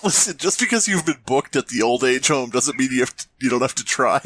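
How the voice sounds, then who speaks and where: A man's voice: medium pitch, expressive, brisk, a bit bright, slightly rough. A man co-hosting a podcast with irreverent, giggly, playful quips in casual comedic banter. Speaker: podcast co-host.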